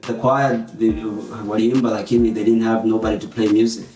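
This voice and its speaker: A man's voice: lowish voice, fairly even intonation, fairly quick, mellow. A man, talking casually and slangily as an interviewed musician, mixing in some Swahili. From born in Tanzania to Burundian parents, grew up in the United States from age seven. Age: 20s. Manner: informal, slangy, mixes some Swahili into English. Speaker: musician and producer being interviewed. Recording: roomy echo with faint music behind.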